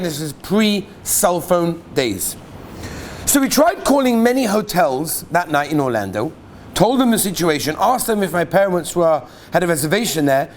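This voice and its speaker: A man, a rabbi, reading listeners' stories aloud to his class in an animated storytelling way, sometimes asking the audience questions. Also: on the high side, slight background noise.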